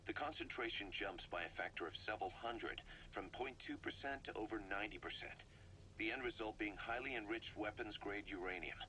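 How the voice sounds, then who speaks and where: An actor playing an analytical, blunt second-in-command in a military video game, explaining things in a measured, matter-of-fact way. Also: male voice, sits low, bright, forceful tone, poor audio. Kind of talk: video game voice acting, recorded briefing tapes. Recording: faint noise, cassette tape briefing.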